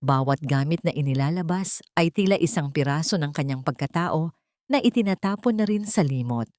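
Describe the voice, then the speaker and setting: A woman tells a dramatic audio story in a low-pitched voice. The sound is dry, with hardly any room in it, and the delivery is that of scripted storytelling. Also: animated, moderate pace, a little mellow, no echo. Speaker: storyteller narrator.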